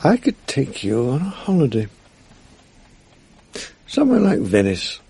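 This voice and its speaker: A man's voice: medium pitch, wildly swooping pitch, a little slow, creaky, warm tone, slightly rough, full character voice. An actor playing a world-weary, middle-aged upper-class Englishman in a radio drama, with an English accent and a wry, urbane, self-mocking manner. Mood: wry, tender. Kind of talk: 1980s British radio drama acting, intimate naturalistic dialogue. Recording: faint noise on archive radio recording.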